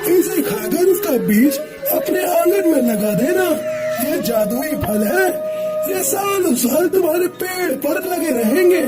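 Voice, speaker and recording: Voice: extremely high pitch, animated, unhurried. An actor voicing a kindly hermit sage bird in a cartoon, giving blessings solemnly. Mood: benevolent, solemn. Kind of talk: cartoon dubbing. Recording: music behind the voice. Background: loud music.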